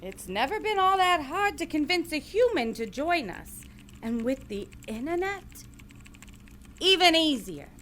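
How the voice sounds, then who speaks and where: A woman's voice: high voice, big pitch swings, a little slow, fairly bright tone, slight background noise, exaggerated voice. A woman playing a brash, condescending female supervisor of demons in a comedy audio drama. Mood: instructing, smug.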